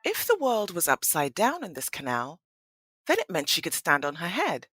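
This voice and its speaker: A woman narrating a scripted audio story as an expressive storyteller. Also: on the low side, moderate pace, husky, no room sound.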